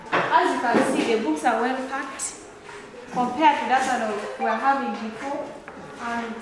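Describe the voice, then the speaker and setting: A young woman from South Sudan with a South Sudanese accent, presenting a school tour in earnest, halting phrases on noisy location audio. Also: high-pitched. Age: young adult. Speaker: student tour guide. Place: school grounds and rooms, outdoors and indoors.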